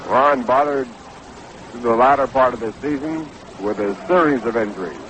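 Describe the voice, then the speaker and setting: A man's voice: mid-range voice, expressive, moderate pace, fairly bright tone. Noisy vintage radio American baseball announcer, male. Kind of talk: live radio sports commentary. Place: ballpark broadcast booth. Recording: noisy 1960s AM radio broadcast.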